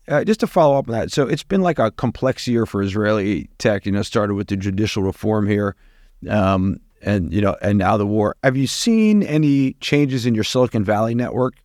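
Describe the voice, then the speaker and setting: Podcast host, man, lowish voice, easygoing questions, dry studio sound. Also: darkish tone, dry recording. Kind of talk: casual interview talk.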